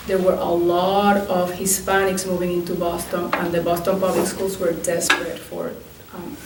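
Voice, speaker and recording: A woman in her fifties from Puerto Rico, speaking in a slightly low voice, recalls her life for an oral history interview and pauses often to gather her thoughts. She sits a little back from the microphone in an echoey room, and a faint hiss runs underneath. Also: far from the mic. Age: 50s. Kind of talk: recounting her life story.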